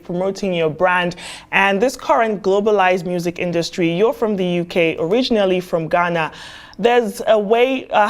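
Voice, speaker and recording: A woman interviews a musician in a television studio, her voice on the low side. She asks measured, formal questions.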